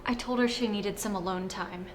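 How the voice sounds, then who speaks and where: A woman's voice: mid-range voice, moderate pace, close to an everyday voice. A woman acting a concerned, earnest ghost friend in comedy-drama dialogue. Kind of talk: acted dialogue in a comedy-drama web series. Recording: faint background noise.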